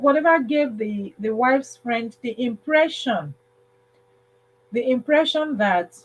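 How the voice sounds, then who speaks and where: A woman hosting a livestream, casually telling a story in a halting way, repeating phrases and checking in with listeners. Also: fairly high pitch, a little mellow, breathy edge, rough recording. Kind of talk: casual spoken storytelling with advice.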